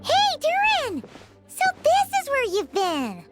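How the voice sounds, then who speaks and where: A tiny fairy companion for an anime-style game. Her squeaky childlike voice sits extremely high and swoops wildly, perky and chatty, with music underneath. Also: wildly swooping pitch, takes it slowly, full character voice. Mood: cheery. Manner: perky, refers to herself in third person.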